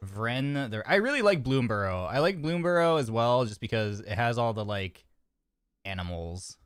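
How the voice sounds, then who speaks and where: A man's voice: mid-range voice, very animated, moderate pace, clean tone, dry recording, clean studio sound. A man streaming a card game, chatting casually and reading card text aloud, a little congested with a sore throat. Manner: chatty, reads card text aloud, frequent restarts and fillers.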